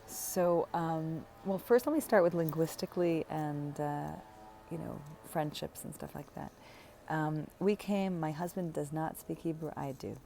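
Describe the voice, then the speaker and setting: A woman in her thirties chats in a loose, rambling vlog, full of fillers, restarts and small laughs. Her voice sits low and is warm and mellow, with a gravelly edge. A faint hiss of noise runs underneath. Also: measured pace. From United States. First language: English. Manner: chatty and rambling, many fillers and self-corrections, frequent light laughs. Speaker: vlogger. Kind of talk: casual personal vlog.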